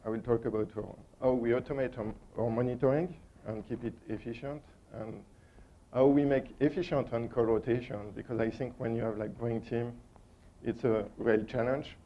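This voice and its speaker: A man's voice: medium pitch, steady tone, normal speed, a little hiss or hum. A man with a strong French accent giving a technical conference talk, hesitant and full of ums and uhs. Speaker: conference speaker. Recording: dull lo-fi conference recording.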